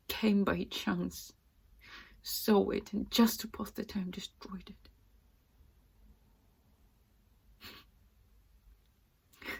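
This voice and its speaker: A woman playing a fragile, distraught young actress in a dramatic, halting stage monologue. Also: mid-range voice, heavily acted. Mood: hushed, dazed. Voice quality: breathy, often dropping to whispers. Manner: halting, intense, swinging from hushed to loud.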